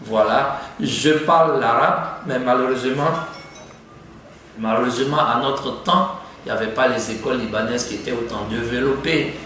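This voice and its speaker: A man's voice: mid-range voice, moderate pace, pushed and bright. A man answers interview questions conversationally, repeating phrases for emphasis. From Côte d'Ivoire (Abidjan), of Lebanese descent. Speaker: doctor and city councillor being interviewed. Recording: echoey room, distant mic, faint noise.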